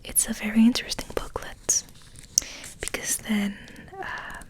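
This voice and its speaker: A woman hosting ASMR, rambling in a soft, breathy, hesitant whisper. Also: fairly high pitch, level tone, a little slow. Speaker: ASMR video host.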